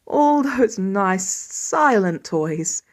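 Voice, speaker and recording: A woman's voice: medium pitch, expressive, extremely slow. A woman voicing a tired, sarcastic, doting new father with dry, exaggerated grousing in an audiobook story. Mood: sarcastic grumbling.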